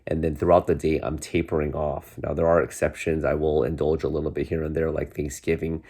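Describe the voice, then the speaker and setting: Congested guy in his 40s, really low voice, rambles along with lots of ums, talking to his viewers from his bedroom. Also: little pitch movement, fairly quick, dark tone, husky. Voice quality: deep voice. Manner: rambling, many restarts and fillers. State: congested, getting over a cold. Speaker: vlogger. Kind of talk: casual chat to camera. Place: bedroom, indoors.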